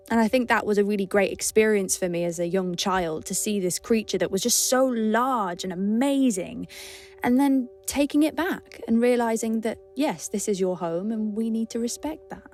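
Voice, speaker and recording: A woman's voice: mid-range voice, darkish tone. A woman sharing personal memories in an earnest, conversational, emphatic way, reflecting as she speaks.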